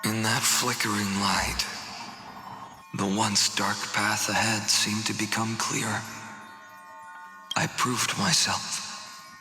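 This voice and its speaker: An actor playing a grave, weary swordsman burdened by fate, speaking in measured, dramatic video game dialogue that sometimes drops toward a whisper. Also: lowish voice, little pitch movement, pushed and bright, reverberant, in a large hall, faint music behind, exaggerated voice. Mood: solemn, reflective.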